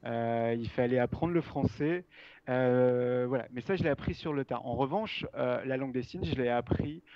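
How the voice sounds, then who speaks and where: Man in his forties, guest on a video call, muffled lo-fi sound, halting speech. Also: medium pitch, dry recording. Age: 40s. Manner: halting, frequent fillers. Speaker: guest sign language trainer. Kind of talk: casual interview answers.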